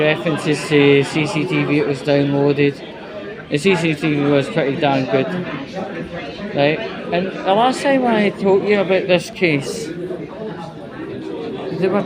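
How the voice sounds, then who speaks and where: A man giving a casual personal update to his viewers, speaking in a halting way with emphatic repetitions. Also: on the high side. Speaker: vlogger. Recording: busy indoor public space, crowd noise. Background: loud crowd chatter in a large indoor space.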